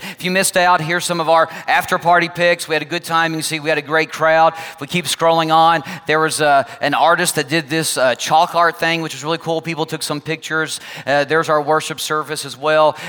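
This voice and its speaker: A male pastor with a hoarse, laryngitis-strained voice, giving chatty, upbeat welcome announcements to his congregation and online viewers. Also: fairly high pitch, sharp tone. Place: large church sanctuary with congregation. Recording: long church reverb, faint music.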